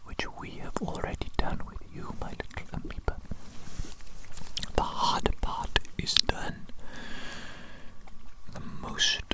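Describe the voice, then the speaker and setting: Man acting as eccentric evil scientist, breathy unvoiced whisper, fussy, obsessively repetitive, ASMR roleplay. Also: takes it slowly, pauses often, natural voice, poor audio. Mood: pleased, obsessive. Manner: fussy, repeats words and phrases obsessively. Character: eccentric evil mad doctor tending his tiny creature.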